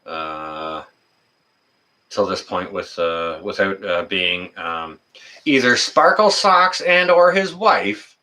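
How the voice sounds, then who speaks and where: A man in his fifties hosting a casual livestream chat, talking in a rambling, folksy, halting way. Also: low voice, sharp tone. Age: 50s. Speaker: livestream host.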